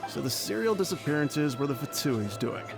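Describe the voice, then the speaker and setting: Male spectator, gravelly, blunt, crowd murmur, game music. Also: a little high, very animated, moderate pace.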